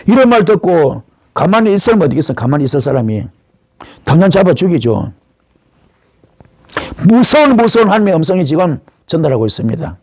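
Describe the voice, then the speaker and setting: A male pastor delivers a sermon in a fairly high voice with a dry, close sound. He retells scripture as a story, voicing the dialogue and putting questions to his listeners. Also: big pitch swings, fairly quick, pauses often, darkish tone, dry recording. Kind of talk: sermon retelling Bible stories.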